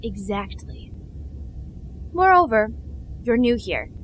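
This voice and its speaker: A woman's voice: on the high side, very animated, slow pace, a little hiss or hum. Woman playing a blunt, imperious starship officer. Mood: blunt, matter-of-fact. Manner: clipped, matter-of-fact, commanding. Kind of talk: science fiction audio drama acting.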